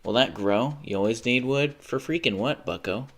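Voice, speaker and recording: A man's voice: medium pitch, normal speed, a bit bright. A young man livestreaming a video game, rambling casually, swearing often and laughing at his own jokes. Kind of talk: casual live gaming commentary.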